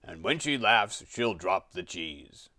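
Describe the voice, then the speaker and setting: A man's voice: low voice, animated, takes it slowly, sharp tone, dry recording, clean studio sound, pitched below the performer's own voice, full character voice. A man voicing a hungry, scheming warthog plotting to himself in a storybook fable.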